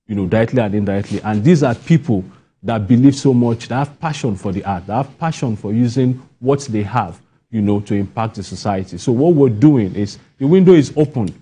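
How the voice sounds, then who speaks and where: A man's voice: fairly low pitch, animated, a little fast, warm tone, husky. A Nigerian man speaking as an interview guest, earnest and emphatic, repeating phrases as the point builds. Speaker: playwright and guest. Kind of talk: broadcast interview answers.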